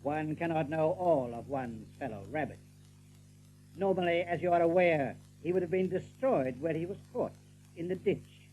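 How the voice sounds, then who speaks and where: A commanding male rabbit general , acted by a man, holds forth in an audiobook drama. The voice sits on the high side, measured and formal with cool menace. A faint hiss and hum lie under it. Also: warm tone, lightly acted, low-quality recording. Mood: quietly menacing.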